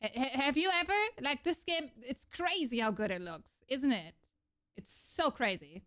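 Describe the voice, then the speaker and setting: A woman's voice: sits high, animated, normal speed, fairly bright tone. A young woman streaming a game, mumbling to herself and making dry sarcastic remarks. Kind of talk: casual gameplay commentary.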